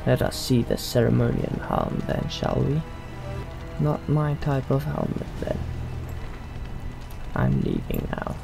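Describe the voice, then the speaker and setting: A man with a creaky voice hosting a let's play, roleplaying in character over the gameplay. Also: mid-range voice, huge pitch swings, takes it slowly, pauses often, over music. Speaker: gaming channel host.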